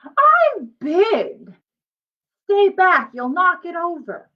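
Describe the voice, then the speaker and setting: A woman's voice: very high voice, huge pitch swings, drawn-out words, muffled recording, pitched above the performer's own voice, exaggerated voice. A woman voicing a scowling, bossy young boy in a storybook, snapping irritably at his little sister. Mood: bossy, irritated.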